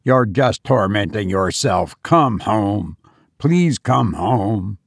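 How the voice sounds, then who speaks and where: A grim, grieving doctor and husband, voiced by a male narrator, low and gravelly. He pleads in a slow, weary groan full of dread, as in a dramatic story reading. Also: dry recording, studio quality. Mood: pleading.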